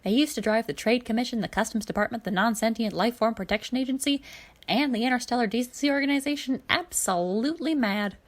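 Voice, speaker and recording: A woman's voice: fairly high pitch, quick pace, voice pushed up, natural voice. A woman voicing a cheerful, chatty older alien man who rambles and exclaims in a fan fiction reading. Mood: amused, nostalgic.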